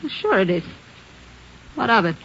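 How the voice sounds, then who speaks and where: A brassy American wife under suspicion of murder, slangy, defensive and defiant. The sound is dull, lo-fi old-time radio, with the clipped feel of a crime serial. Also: female voice, mid-range voice, sing-song, measured pace, fairly bright tone, husky, full character voice. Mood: scornful. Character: defiant, brash married woman accused of murder. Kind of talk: 1950s radio crime drama acting.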